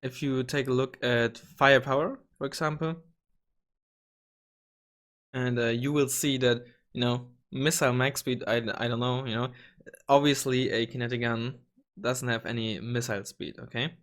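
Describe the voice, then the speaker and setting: A young man with a slightly hoarse voice walks through a game tool in a casual tutorial. He rambles, restarts his sentences and swears now and then, talking into a desk microphone at a mid pitch. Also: steady tone, moderate pace, fairly bright tone. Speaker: gaming channel host. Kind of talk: casual screen-recorded tutorial.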